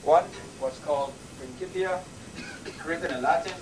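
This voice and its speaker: An older man, a native Persian speaker from Iran, giving a measured university lecture with thoughtful pauses. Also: on the high side. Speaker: professor. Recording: lecture recording with audible background noise.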